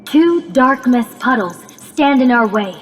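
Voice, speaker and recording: A woman playing a calm, analytical robot AI companion in a video game, speaking in formal, precise, measured phrases with earnest encouragement. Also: high voice, long reverb tail. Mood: grave, informative. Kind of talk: scripted video game cutscene acting.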